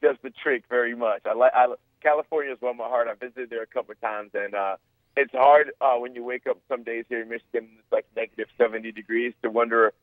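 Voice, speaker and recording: A man's voice: mid-range voice, steady tone, fast talker, no echo. A young man from Zimbabwe, a guest on a talk show, answering in earnest, rambling sentences with many restarts. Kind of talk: phone-in interview. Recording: tinny phone line.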